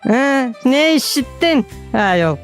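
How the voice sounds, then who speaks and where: A woman voicing an excitable, mouthy female animal in a children's cartoon, exclaiming and mocking. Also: sits high, slow pace, exaggerated voice. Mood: teasing. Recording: music behind the voice.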